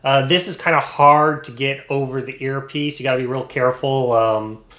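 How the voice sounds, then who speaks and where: A man's voice: medium pitch, steady tone, moderate pace, sharp tone. Man reviewing a product in an echoey room. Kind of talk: casual unscripted product review. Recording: echoey, roomy sound.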